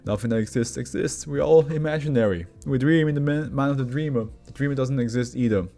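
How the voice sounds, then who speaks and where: A man's voice: medium pitch, fast talker, dark tone, faint music behind, voice pushed up, close to an everyday voice. A man voicing a volatile condemned prisoner who is coming unravelled, speaking with agitated intensity in a story reading. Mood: fervent, unhinged.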